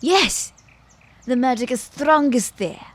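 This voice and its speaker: A woman's voice: sits high, animated, slow pace, full character voice. A woman playing a clever, teasing immortal witch in an audio roleplay, with a playful, mock-courteous manner. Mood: sly, coaxing.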